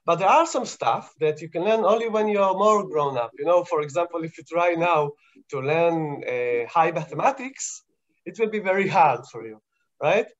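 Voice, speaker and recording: A man's voice: fairly high pitch, lively intonation, moderate pace, lo-fi sound. A man with an Israeli Hebrew accent, a scientist explaining things to children in a casual, friendly way, laughing often and checking in with "right?" and "you know?". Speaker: scientist answering children's questions.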